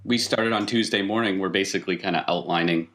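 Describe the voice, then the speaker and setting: A man with a low-pitched voice runs a tabletop role-playing game. He speaks to the player as "you", improvising the narration, asking probing questions and explaining what the character feels. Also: fast talker, a bit bright. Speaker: game master.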